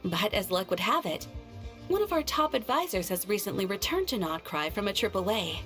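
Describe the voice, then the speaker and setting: A woman's voice: mid-range voice, sing-song, a bit bright, faint music behind. A woman playing a composed, formal and helpful receptionist at an adventurers' guild in a video game. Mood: courteous, helpful. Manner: polite, formal, measured explanation. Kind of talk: video game character acting.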